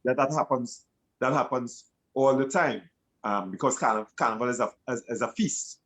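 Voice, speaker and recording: A man speaking as a panelist in a live discussion, in a halting way, searching for his words. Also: mid-range voice, a bit bright, poor audio.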